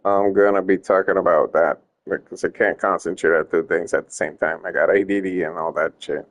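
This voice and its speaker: A man's voice: sits low, fairly even intonation, fairly quick. A young man from Puerto Rico, Spanish his first language, casually rambling through an update on his ROM hacks, joking and cutting himself off. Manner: rambling, self-interrupting, joking. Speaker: ROM hack creator giving updates.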